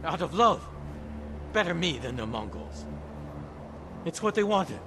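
A man's voice: measured pace, gravelly, background noise. An actor playing a bitter middle-aged fisherman villain in a video game, anguished and desperate. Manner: anguished, swinging between low and loud.

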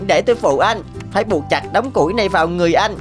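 A woman's voice: on the low side, expressive, quick pace, lightly acted. A woman voicing a sweetly deceitful, vengeful young rabbit in a cartoon. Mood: sly. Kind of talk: cartoon voice acting. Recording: faint music behind.

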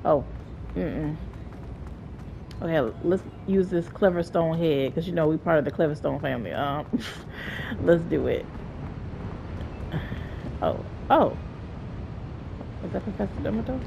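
A young woman with a stuffy head cold, chatting casually and excitedly while playing a video game. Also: low voice, a little slow, creaky, long pauses, noisy background. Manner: chatty, excitable, rambling, self-talking. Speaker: gameplay streamer. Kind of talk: casual let's-play commentary.

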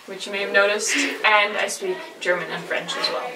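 A young woman from the Netherlands, a native Dutch speaker, answering interview questions as a teacher in a relaxed, thoughtful way with some ums. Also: medium pitch, normal speed, crowd noise. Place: school studio set. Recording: roomy, distant mic with music.

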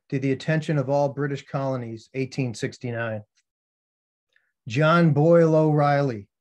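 An American man giving a poetry reading, speaking as the poet presenting his own work in deliberate phrases. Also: on the high side, darkish tone. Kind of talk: poetry reading with spoken introductions.

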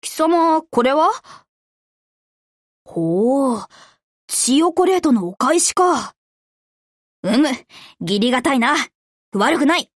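A woman voicing a proud, composed warship woman in measured Japanese anime game lines. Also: sits high, very animated, no echo, clean studio sound, exaggerated voice. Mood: proud, approving. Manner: measured, reserved.

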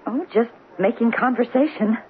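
An actress playing a quick-witted wife turned amateur sleuth in a 1970s radio drama, wry and quippy. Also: female voice, a little high, lightly acted. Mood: polite, businesslike. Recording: muffled, lo-fi, noisy old radio broadcast.

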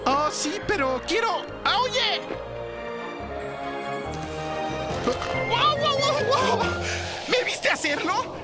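An actor voicing a timid, excitable young male feathered dinosaur in a dubbed cartoon, hesitant and jittery. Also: extremely high pitch, slow pace, over loud music, exaggerated voice. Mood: excited. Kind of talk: animated cartoon dubbing.

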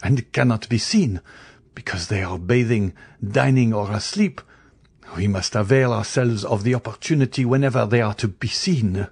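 A man's voice: mid-range voice, moderate pace, darkish tone, breathy edge. A man reading the part of an angry young viscount who trembles with fury. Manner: furious, tense, accusing.

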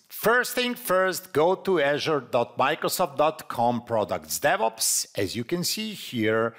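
Slow, lively Croatian-accented man tutoring. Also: medium pitch. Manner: slow, deliberate, non-native phrasing with fillers. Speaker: software trainer. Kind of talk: screen-recorded tutorial.

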